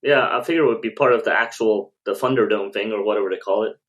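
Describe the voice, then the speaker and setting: A man's voice: lowish voice, fast talker, a bit bright. A man co-hosting a podcast in a casual, slangy conversational style. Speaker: podcast co-host.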